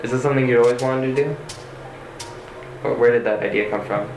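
A man's voice: mid-range voice, brisk, a little mellow, soft background music. A man interviewing a guest, asking short, friendly questions and giving brief encouragements. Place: room in a mosque. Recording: echoey room with ambient noise.

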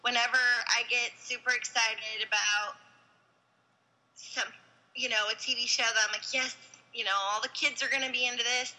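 Young woman, very bright voice, slightly high, giggly, chatty co-host. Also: little pitch movement, moderate pace, rough recording. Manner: giggly, chatty, trails off into laughs. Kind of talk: casual chat.

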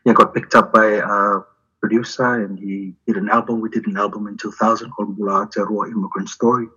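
Deep husky guy from fiji, halting chatty talk, kinda muffled lo-fi recording. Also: flat delivery, bright, forceful tone. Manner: halting, many fillers and restarts. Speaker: poet. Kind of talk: interview, then poetry reading. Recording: dull lo-fi sound.

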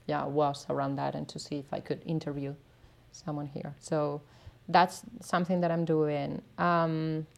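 A Spanish woman with a Spanish accent, a native Spanish speaker, chatting as a guest in a casual interview, self-deprecating and quick to laugh. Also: low voice, flat delivery, vocal fry. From Spain. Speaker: artist and researcher guest.

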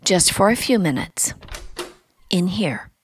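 A woman's voice: on the low side, animated, takes it slowly, slight background noise. A woman playing a composed, formal hospital attendant in a radio drama, polite and clipped. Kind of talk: dramatic radio play acting.

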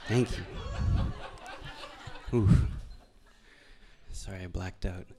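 A young man from Alberta, doing stand-up storytelling on a stage microphone in a deadpan, halting, self-deprecating way. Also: low voice, background noise. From Alberta, Canada. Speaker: stand-up comedian. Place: live venue stage before an audience.

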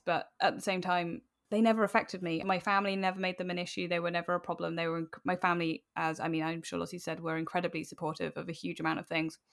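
A woman's voice: fairly low pitch, fairly bright tone. A British woman in her late twenties chatting casually and candidly as an interview guest, in an informal way, often acting out remembered remarks. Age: late 20s. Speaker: podcast guest, cosplayer and writer.

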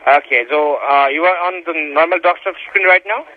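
A man's voice: fairly high pitch, brisk. A man giving repetitive, insistent step-by-step instructions as a tech support caller, addressing the listener as sir over a phone line. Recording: tinny phone line with background noise.

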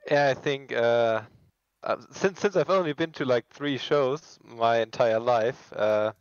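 A man in his mid twenties from Germany talks casually as a podcast guest. His voice is medium in pitch, heard through dry, slightly dull lo-fi audio. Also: measured pace, clear, resonant tone, no echo. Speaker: podcast guest, hobby train builder. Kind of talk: casual chat.

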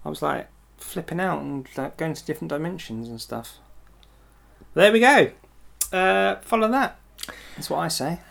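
A man's voice: fairly high pitch, expressive, moderate pace, creaky, fairly bright tone. A man co-hosting a music show, bantering and laughing between tracks in a loose, tipsy way. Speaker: radio show co-host.

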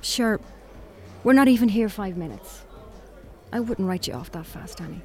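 A woman's voice: mid-range voice, lively intonation, normal speed, darkish tone, natural voice. An actress playing a wry, guarded young woman on a first date in an audio drama, with dry, teasing delivery. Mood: playful. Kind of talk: audio drama acting, naturalistic dialogue.

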